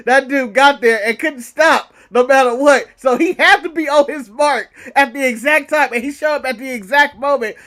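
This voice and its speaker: A man's voice: very high-pitched, a bit bright. A man co-hosting a wrestling podcast, giving blunt, emphatic opinions in slangy casual chat with some swearing.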